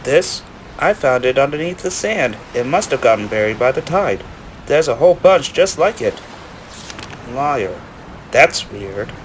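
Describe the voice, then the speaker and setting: A man's voice: medium pitch, very animated, a little slow. Man as a flustered young cartoon stallion, noisy recording. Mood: puzzled. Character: flustered, good-natured young male cartoon pony. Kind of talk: cartoon voice acting in a comic read-along. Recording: clearly noisy background.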